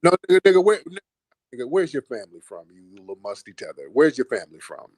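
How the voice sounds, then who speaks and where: Husky, confrontational male stream host. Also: medium pitch, big pitch swings, quick pace, darkish tone, dry recording. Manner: confrontational, blunt, interrogating callers. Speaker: livestream host. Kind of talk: heated call-in livestream.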